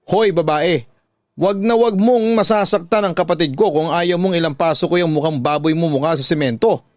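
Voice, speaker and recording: A man's voice: fairly high pitch, moderate pace, dry recording, clean studio sound, pitched above the performer's own voice, lightly acted. A man voicing a fierce, protective young woman in a radio drama, scolding in an indignant, emphatic way. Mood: furious, commanding. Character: fierce, protective young woman confronting her father's mistress. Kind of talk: Tagalog radio drama acting.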